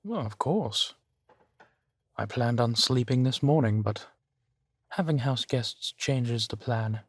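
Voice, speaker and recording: A man playing a courteous, gently protective vampire gentleman in an intimate roleplay audio drama, with formal, measured phrasing. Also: mid-range voice, no echo. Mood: hospitable, pleased. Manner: formal, polite, measured phrasing with soft sighs.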